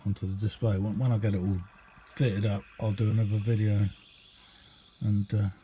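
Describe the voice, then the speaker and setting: A man's voice: fairly low pitch, level tone, moderate pace, warm tone. A middle-aged or older British man giving a casual, unscripted hobby demonstration in a rambling, hesitant, easygoing way. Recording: clearly noisy amateur home recording.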